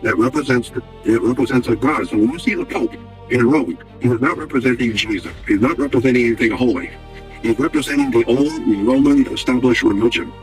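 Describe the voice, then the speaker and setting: A man's voice: medium pitch, brisk, faint music behind. A man giving an explanatory history lecture, assertive and didactic, restating his key words.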